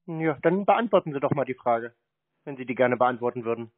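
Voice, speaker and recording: A man's voice: medium pitch, expressive, a little fast, very noisy. Male prankster on the phone, dry and needling, thin telephone sound. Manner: sardonic, challenging, evasive. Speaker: prankster answering scam calls. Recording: narrow tinny phone line.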